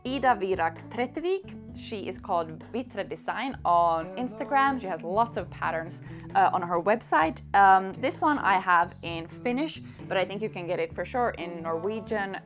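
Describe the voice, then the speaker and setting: A young woman whose first language is Swedish, chatting casually and eagerly about knitting books with many fillers and little laughs. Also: lowish voice. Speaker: knitting vlogger. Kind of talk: casual chat.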